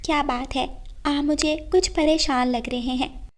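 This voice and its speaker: Cartoon mother sparrow, played by a woman: high-pitched, gentle, worried, polite and earnest. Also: steady tone, measured pace.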